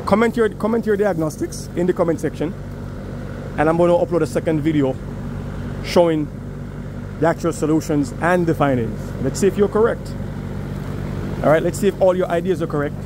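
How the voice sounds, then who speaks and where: A man's voice: a little high, brisk, long pauses, background noise. A man with a New York accent, an HVAC technician, walks viewers through a service call in a casual, step-by-step way while filming outside a house. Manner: casual, explains step by step and addresses viewers directly. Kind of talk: on-the-job video walkthrough. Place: outdoors beside a house at an air conditioner unit.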